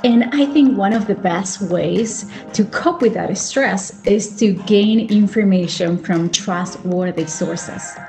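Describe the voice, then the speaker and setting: A woman in her thirties speaking in a measured, deliberate way as a host introducing and interviewing on a video call. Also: medium pitch, darkish tone. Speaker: host, clinical psychologist. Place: small indoor home office, video call. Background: music.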